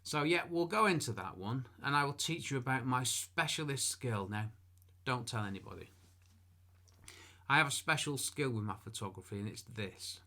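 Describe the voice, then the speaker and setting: Guy teaching photography from home, bright voice, rambly asides, laughs at himself. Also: mid-range voice, lively intonation, normal speed. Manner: chatty, self-deprecating, with asides and restarts. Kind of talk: casual talk to camera. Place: room at home.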